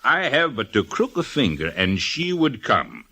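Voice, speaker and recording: A boastful male blackmailer, theatrical and imperious. His forceful voice swoops wildly and moves at a very slow, expansive pace, in old-time radio style. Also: mid-range voice, big pitch swings, heavily acted. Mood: sly, boastful. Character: boastful, swaggering male Russian blackmailer at an inn. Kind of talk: old-time radio comedy-drama acting.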